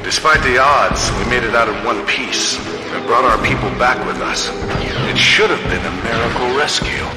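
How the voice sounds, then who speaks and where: An actor playing a battle-hardened rebel squadron commander, narrating war missions in a grim, measured, resolute way for a video game. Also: male voice, mid-range voice, expressive, close to an everyday voice. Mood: grim, determined. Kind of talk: dramatic scripted game cutscene narration. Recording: echoey hall reverb under loud music.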